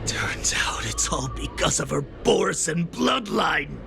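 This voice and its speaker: Very gravelly, scornful villain. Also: male voice, a little high, big pitch swings, unhurried, noisy background, heavily acted. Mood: grim realization. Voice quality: gravelly, croaking. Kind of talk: dramatic video game acting.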